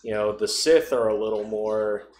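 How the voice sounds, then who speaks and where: A man's voice: fairly low pitch, fairly even intonation, normal speed, darkish tone. A man talking casually and conversationally as a podcast co-host. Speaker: podcast co-host discussing tabletop role-playing. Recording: roomy echo, noticeable background noise.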